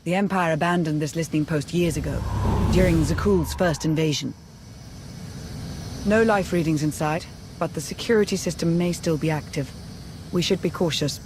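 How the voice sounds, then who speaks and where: A woman's voice: low voice, moderate pace, noisy background, natural voice. A woman playing an earnest, cautious military adviser in a sci-fi game, serious and businesslike. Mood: cautious briefing. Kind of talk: sci-fi game acting, briefing.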